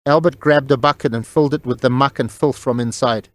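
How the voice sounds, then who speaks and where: A man's voice: medium pitch, fairly even intonation, a little fast, no room sound. A man reading scripted story narration as an audiobook narrator, close on the mic.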